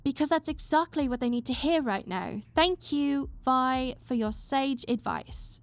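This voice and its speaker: A woman's voice: sits high, a little slow, dry recording. A woman voicing a composed young noblewoman, precise and dryly sarcastic but caring, in an audio drama.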